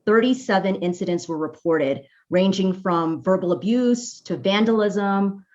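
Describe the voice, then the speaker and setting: A woman's voice: mid-range voice, a little slow, darkish tone. A woman from a bar association speaking in a composed, informative way in a news interview. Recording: muffled interview audio.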